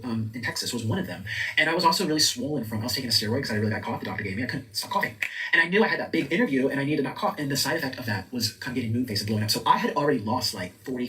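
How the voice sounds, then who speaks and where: A man talks at a racing pace in a casual talking-head video. He speaks in a middle pitch and peppers his sentences with likes. His voice sits a little away from the mic. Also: a bit bright, recorded from a distance, rough recording. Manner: rapid, chatty, with many likes. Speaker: content creator.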